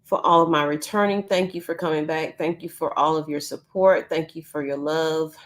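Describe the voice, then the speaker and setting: A woman's voice: sits low, steady tone, normal speed. A woman hosting a casual live news stream from home, chatty with frequent ums, who is losing her voice. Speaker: YouTube news channel host.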